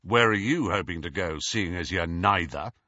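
A man's voice: sits low, moderate pace, sharp tone, no room sound, lo-fi sound. A man voicing a cool, wry young boy in an audiobook story. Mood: mocking.

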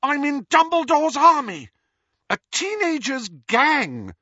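A man voicing a defiant, passionate teenage girl in an audiobook, protesting loudly and emotionally. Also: high voice, wildly swooping pitch, unhurried, husky, no echo, muffled recording, voice pushed up, exaggerated voice. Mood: defiant, upset. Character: defiant, passionate sixteen-year-old girl.

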